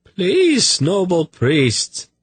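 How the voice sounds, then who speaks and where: A man's voice: on the low side, fairly even intonation, drawn-out words, no room sound, voice pushed up. A man voicing a nervous, fawning snake keeper in an audiobook, pleading, apologetic and coaxing in manner. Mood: anguished pleading. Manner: wheedling, apologetic, deferential.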